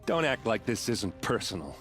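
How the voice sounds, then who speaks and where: Deep-voiced, blunt soldier. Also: male voice, sing-song, normal speed, fairly bright tone. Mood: urgent, pleading. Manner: blunt, emphatic. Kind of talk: naturalistic video game acting. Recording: game music behind dialogue. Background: cinematic game music.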